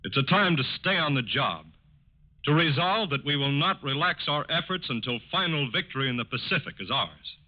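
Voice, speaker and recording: A man with an American accent doing scripted 1940s radio announcing in a formal, measured, solemn way. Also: fairly high pitch, very animated. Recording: dull lo-fi 1940s radio recording.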